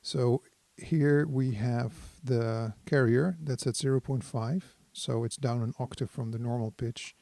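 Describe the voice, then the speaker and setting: A man giving a casual hands-on tutorial as a synthesizer demonstrator, thinking aloud in an unhurried, explanatory way. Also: medium pitch, steady tone, creaky, dark tone, no room sound.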